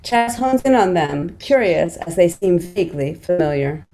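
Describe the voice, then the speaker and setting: A woman's voice: fairly low pitch, expressive, normal speed, warm tone, slight background noise. A woman narrating stage directions in a screenplay table read.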